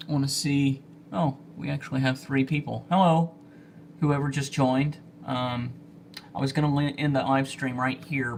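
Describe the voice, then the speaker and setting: A man chatting casually to livestream viewers as an amateur storm spotter, speaking haltingly with trailing pauses, on phone audio. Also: mid-range voice, gravelly, slight background noise. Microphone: phone, held or propped nearby.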